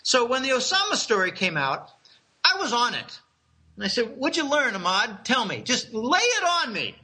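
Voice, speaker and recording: High, bright-voiced man telling rambling stories. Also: wildly swooping pitch, moderate pace, breathy edge. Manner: rambling, chuckling, with many restarts. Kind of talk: casual conspiratorial storytelling.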